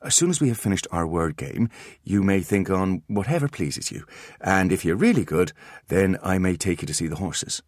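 A man's voice: sits low, big pitch swings, moderate pace, dry recording, studio quality. A man voicing a clever teenage boy patiently lecturing his little brothers.

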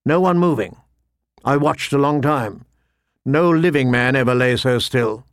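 A man's voice: medium pitch, unhurried, clean tone, dry recording, studio quality, natural voice. A man voicing a wary poacher turned scout in an audiobook, reporting in plain, guarded, rustic speech. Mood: uneasy.

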